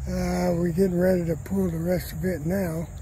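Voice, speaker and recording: A hoarse man from South Carolina, giving folksy gardening advice to his viewers outdoors and repeating his sayings to drive them home. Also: high-pitched, level tone, normal speed, background noise. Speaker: gardening vlogger.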